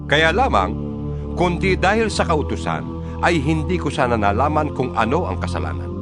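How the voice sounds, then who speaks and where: A man reads scripture as a dramatized narration, solemn and emphatic in an oratorical way. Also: fairly high pitch. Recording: music behind the voice. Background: background music.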